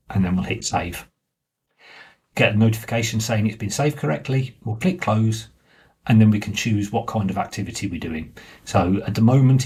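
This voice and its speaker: A man giving a plain, informal step-by-step walkthrough as the presenter of a software tutorial. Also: on the low side, breathy edge. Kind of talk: step-by-step screen walkthrough narration.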